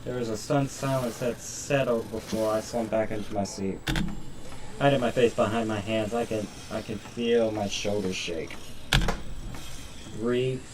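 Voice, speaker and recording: A man's voice: on the low side, fairly even intonation, normal speed, distant mic, noisy background. A man reading a visual novel's narration aloud on a stream, in a dramatic storytelling style.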